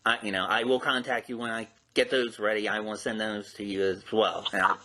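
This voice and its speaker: A man's voice: lowish voice, level tone, fairly quick, pushed and bright, rough recording. A man hosting a casual podcast interview, speaking politely with tentative, self-correcting phrasing.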